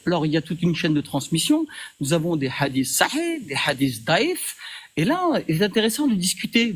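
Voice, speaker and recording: A man hosting a heated live debate, speaking in a measured way but pushing back firmly when interrupted. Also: sits high, slightly rough. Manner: measured, insists on respect, pushes back firmly.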